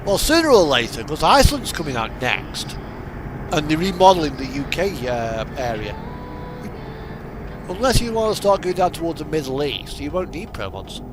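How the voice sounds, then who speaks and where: A man's voice: fairly high pitch, sing-song, normal speed, noisy background. A British man rambling casually and a little hesitantly as he plays a driving game, chatting in loose asides. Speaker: gaming streamer. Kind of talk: casual rambling chat while playing a truck driving simulator. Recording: music playing behind the voice. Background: music, vehicle engine sounds.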